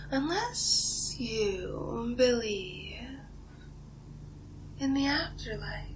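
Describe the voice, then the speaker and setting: A woman playing a seductive, ancient vampire villain in a whispered ASMR roleplay, breathy and drawn-out, savoring and condescending. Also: mid-range voice, noisy background. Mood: soothing, menacing. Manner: slow, hushed, drawn-out, savoring delivery.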